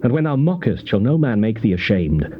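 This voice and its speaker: A slightly noisy, muffled tape carries a stern, righteous man admonishing in grand scriptural language. He declaims like a classical stage player, his mid-pitched voice rising and falling dramatically. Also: sing-song, a little fast. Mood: stern rebuke. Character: stern, accusing righteous elder rebuking a friend. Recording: muffled old cassette tape, faint noise.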